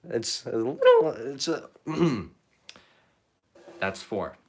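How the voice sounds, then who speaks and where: A young man chatting casually while painting on a live stream, rambling and self-deprecating, his pitch rising at the ends of phrases. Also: huge pitch swings, drawn-out words, gravelly, breathy edge. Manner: rambling, self-deprecating, reads chat comments aloud. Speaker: painting livestreamer.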